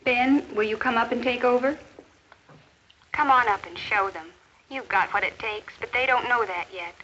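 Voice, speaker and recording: An actress playing an earnest, caring high school teacher in a 1950s educational film, measured and formal in delivery. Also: female voice, bright, forceful tone. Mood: pensive, concerned. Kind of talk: 1950s educational film acting with inner-thought narration. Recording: dull, noisy 1950s film soundtrack.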